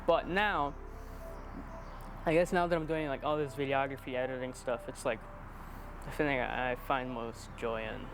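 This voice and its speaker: A man's voice: mid-range voice, fairly even intonation, normal speed, long pauses, background noise. A man of twenty-one chatting casually in a rambling, self-deprecating, playfully joking way. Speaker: interviewee, amateur videographer. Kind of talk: casual chat.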